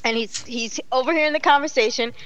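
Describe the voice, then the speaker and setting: A middle-aged woman hosting a casual online talk show, animated and exclaiming, laughing often. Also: sits high, fast, muffled recording, a little hiss or hum. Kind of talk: casual live-stream chat.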